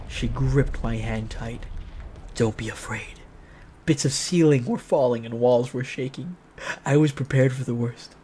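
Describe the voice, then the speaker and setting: A man's voice: medium pitch, moderate pace, warm tone, husky. A man reading a visual novel aloud as a streamer, switching between dramatic narration and casual, joking commentary. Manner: playful, joking asides.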